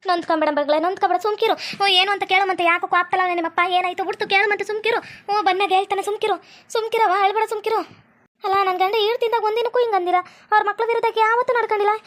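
Lady doing a village mom voice, lower and soothing, talks fast. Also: steady tone, fairly bright tone. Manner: soothing, coaxing. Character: middle-aged village mother, soothing her daughter.

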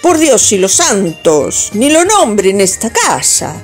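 A woman's voice: medium pitch, very animated, slow pace, soft background music. A woman playing a bossy, superstitious, gossipy lady of the house in a radio comedy, colloquial and scolding. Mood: scolding, indignant.

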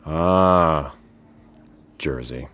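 An actor playing a wisecracking, laid-back ghost hunter in a video game, with dry sarcasm. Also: male voice, rumbling low, extremely slow, fairly bright tone, recorded from a distance, exaggerated voice. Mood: dry, sarcastic. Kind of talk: video game cutscene acting. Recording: roomy, noisy game ambience.

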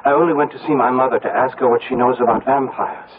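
A narrow, tinny vintage radio broadcast carries a grave village man, played by a male actor. His mid-pitched voice is deliberate and portentous, full of superstitious dread in the manner of a gothic radio play. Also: steady tone, natural voice. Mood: concerned. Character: solemn, fearful village man who believes in vampires. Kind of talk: 1970s radio mystery acting. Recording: muffled, narrow-band old radio broadcast.

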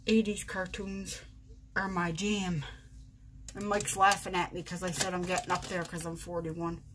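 A woman's voice: on the low side, flat delivery, normal speed, noisy background. A woman in her forties doing her makeup on camera in her bedroom, chatting casually, poking fun at herself, and talking through each step. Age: 40s. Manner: chatty, self-mocking, narrates each step. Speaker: vlogger. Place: bedroom at home.

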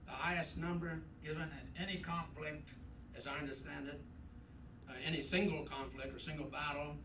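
A man's voice: a little high, steady tone, normal speed. An older man giving a rambling, emphatic war memoir talk at a club meeting, with frequent fillers. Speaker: guest speaker. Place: club meeting room, indoors. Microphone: podium mic, camera from across room. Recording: roomy, distant camcorder audio with noise.

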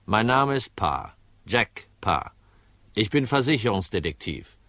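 An actor playing a gruff, weary hard-boiled insurance detective in a crime radio drama, dry and laconic. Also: male voice, fairly low pitch, very animated, a little slow, bright, forceful tone, dry recording, full character voice. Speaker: narrator and lead actor.

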